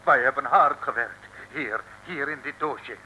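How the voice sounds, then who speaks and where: An actor playing a flustered, servile communist agent who stammers and pleads in an old Dutch comic radio serial. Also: male voice, mid-range voice, unhurried, bright, forceful tone, breathy edge, full character voice, low-quality recording. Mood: flustered, pleading. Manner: stammering, repeating words, pleading. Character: flustered, servile male communist agent, eager to explain himself.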